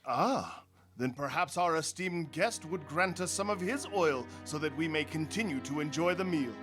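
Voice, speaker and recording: A man's voice: on the high side, lively intonation, moderate pace, music in the background, natural voice. An actor playing a generous, trusting man of means in a radio drama, with formal, courtly speech. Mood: hospitable. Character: generous, trusting wealthy householder, courtly host.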